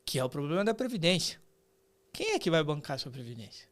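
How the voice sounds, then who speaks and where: A Brazilian man in his late thirties with a fairly high voice for a man. He explains things like a podcast guest, in colloquial, emphatic phrases. He keeps checking in with rhetorical questions. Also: sing-song, fast. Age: late 30s. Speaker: podcast guest, artificial intelligence expert and professor. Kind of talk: conversational explanation in an interview.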